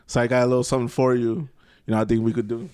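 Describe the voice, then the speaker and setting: A man's voice: mid-range voice, level tone, rapid-fire, a little mellow, smooth. Laid-back jokey male co-host. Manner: jokey, laid-back, affirms with repeated yeahs. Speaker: podcast co-host. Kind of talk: casual podcast chat.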